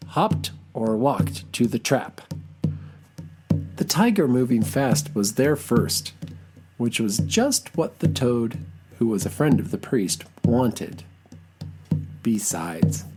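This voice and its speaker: An expressive storyteller reads a children's folktale in a slightly mellow voice. The phrasing is dramatic and deliberate, at a slow pace. Soft music plays behind the narration. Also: medium pitch.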